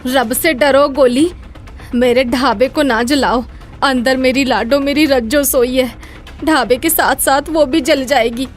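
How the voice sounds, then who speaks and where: A fearless young cartoon heroine, acted by a woman, bold and dramatic in a fairly high voice. She speaks with defiant emotion over faint music. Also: steady tone, a little slow. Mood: pleading. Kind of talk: animated moral story acting.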